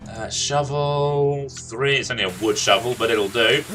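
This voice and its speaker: A man's voice: medium pitch, measured pace, over music. A man hosting a gaming video, giving casual commentary as he plays. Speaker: gaming host. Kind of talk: casual gameplay commentary with dialogue read aloud.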